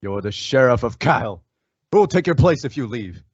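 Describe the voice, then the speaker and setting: An actor playing a calm masked cowboy hero, speaking in a measured, reassuring way in an old-time western radio drama. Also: male voice, mid-range voice, breathy edge, no room sound, lo-fi sound. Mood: firm.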